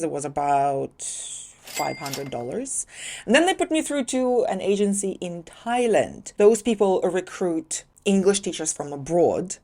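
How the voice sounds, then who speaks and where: A woman from Ukraine speaking English as a second language, telling her own story in a chatty vlog. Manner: chatty and lively, with sudden rises in pitch for emphasis. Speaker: vlogger, English teacher.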